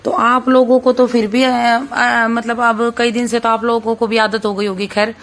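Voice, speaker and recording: A hoarse-voiced woman, chatting away to her viewers as she works in her kitchen. Her voice sits a little high and stays fairly flat in tone, and she drops in "bhai" over and over in a loose, homely way. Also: moderate pace. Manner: chatty, keeps saying "bhai", talks while cooking. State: hoarse, sore throat. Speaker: vlogger. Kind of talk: casual vlog chat.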